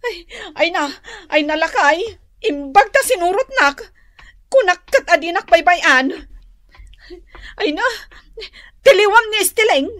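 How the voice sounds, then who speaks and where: A woman's voice: very high-pitched, animated, a little slow, fairly bright tone, exaggerated voice. A woman playing a touchy, quarrelsome village wife in a comedic Ilocano radio drama, exclaiming and complaining. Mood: indignant, bossy.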